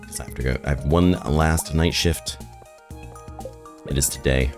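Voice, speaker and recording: A hoarse man with a raspy, rough voice, rambling and thinking aloud as he plays through a farming game in casual commentary. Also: extremely low pitch, unhurried. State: hoarse from shouting the night before. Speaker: gaming video host. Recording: game music behind the voice. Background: game music.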